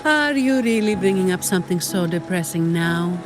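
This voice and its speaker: A woman's voice: fairly low pitch, animated, moderate pace, background noise, lightly acted. Woman playing a composed, dry young female operative. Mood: wry, weary. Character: cool, weary, dutiful young female security operative. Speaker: employee of a powerful family leading an operation. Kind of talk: video game voice acting. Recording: studio voice under game music.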